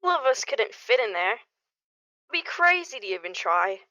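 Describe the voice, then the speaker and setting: A woman's voice: fairly high pitch, sing-song, unhurried, pushed and bright, dry recording, full character voice. A woman playing a level-headed but exasperated neighbor in a radio play, insistent and reproachful. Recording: dull lo-fi audio.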